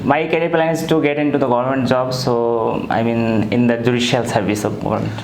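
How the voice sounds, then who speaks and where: A man's voice: medium pitch, steady tone, brisk, gravelly. A man in his twenties from Bangladesh, answering questions plainly and briefly with a few fillers. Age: 20s. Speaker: voice therapy patient. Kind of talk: casual interview answers. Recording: echoey room, faint noise.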